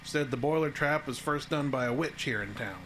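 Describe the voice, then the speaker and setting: A man's voice: medium pitch, a little fast, a bit bright, slight background noise, natural voice, rough recording. An actor playing a plain-spoken small-town handyman in an audio drama, folksy, skeptical and matter-of-fact. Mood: folksy storytelling.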